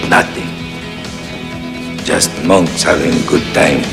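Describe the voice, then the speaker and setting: A man's voice: very deep voice, fairly even intonation, slow, vocal fry, exaggerated voice. An actor playing a wry old male karate master who speaks in clipped, broken phrases. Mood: dry amusement. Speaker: karate teacher in a film clip. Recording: film clip under loud music. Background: loud music.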